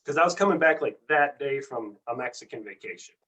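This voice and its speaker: A man's voice: mid-range voice, lively intonation, brisk, fairly bright tone, recorded from a distance. A man telling his own story as a guest in casual chat, earnest and rambling, stumbling over repeated words.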